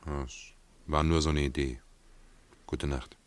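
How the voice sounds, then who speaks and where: A man's voice: a bass voice, fairly even intonation, a little slow. A man playing an ironic, talkative stage actor in a German radio play, speaking with theatrical, mocking courtesy. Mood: pensive, subdued.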